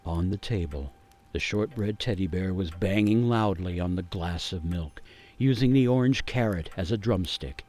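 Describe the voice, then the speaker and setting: A man playing a grave gingerbread man, narrating a radio play as a haunted survivor with theatrical suspense and weighty pauses. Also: low-pitched, a little mellow. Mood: hushed suspense. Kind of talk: dramatic first-person storytelling for a radio play.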